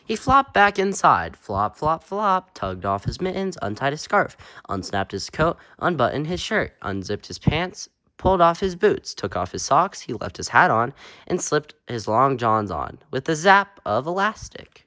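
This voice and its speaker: A man's voice: fairly high pitch, sing-song, unhurried, sharp tone, dry recording. A man reading a children's picture book aloud with playful, sing-song emphasis and punchy sound-effect words. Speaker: read-aloud storyteller.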